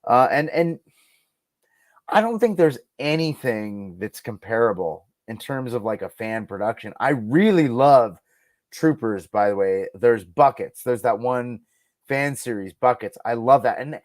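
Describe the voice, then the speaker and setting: A man with an average-pitched voice talks casually as an interview guest. He is emphatic and enthusiastic, telling things in an animated conversational way. The recording is dry and close. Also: a little slow, dry recording. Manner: enthusiastic, emphatic, acts out quoted lines. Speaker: guest, radio producer and audio drama creator.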